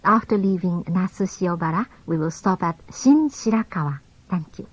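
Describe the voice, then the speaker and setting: A woman reading scripted onboard train announcements in a formal, measured way. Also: lowish voice, expressive, no echo. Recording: dull lo-fi old cassette tape.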